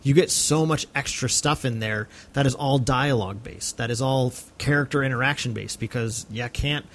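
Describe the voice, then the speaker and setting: A man's voice: mid-range voice, normal speed, fairly bright tone. A male podcast host talking casually and earnestly about film, with an enthusiastic manner.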